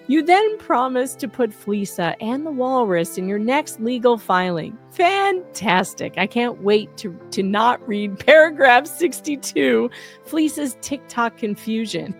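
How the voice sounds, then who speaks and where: A woman reading out a scripted satirical roast as an online host, with a sarcastic, punchy, emphatic delivery. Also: a little high.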